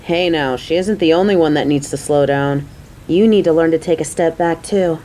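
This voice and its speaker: A woman's voice: sits low, normal speed, dark tone, close to an everyday voice. A woman playing a caring, playful coworker on a date in an audio roleplay, talking to the listener in a motherly, teasing, chatty way. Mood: worried.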